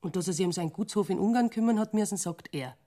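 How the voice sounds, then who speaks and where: Casting: actress as nagging Bavarian woman, medium pitch, exasperated but caring, for a radio play. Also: fast talker, warm tone, natural voice. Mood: reproachful. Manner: reproachful, down-to-earth. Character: scolding, exasperated Bavarian woman who worries about him. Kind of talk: radio drama acting.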